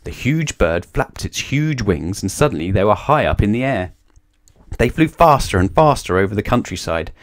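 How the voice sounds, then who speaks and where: A man reading a children's storybook aloud with expressive narration. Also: on the low side, normal speed.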